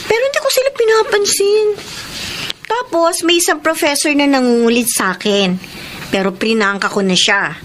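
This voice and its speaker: A woman's voice: sits high, moderate pace, a bit bright, a little hiss or hum. An actress with a Filipino accent playing a frank, devoted young woman student in a radio drama. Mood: apologetic, frank. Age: young adult.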